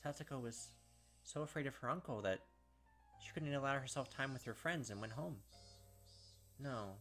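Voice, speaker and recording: Brief: young male gaming host, mid-pitched, reading the narration aloud over soft music. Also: normal speed. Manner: casual, filler-heavy commentary. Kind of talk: reading game text aloud with casual asides.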